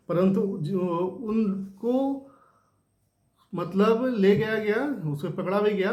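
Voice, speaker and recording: A man's voice: high voice, a little mellow. A man giving informal, unscripted news commentary, explaining points repetitively and checking in with rhetorical tags. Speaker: host of a news discussion channel. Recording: roomy, echoing room sound.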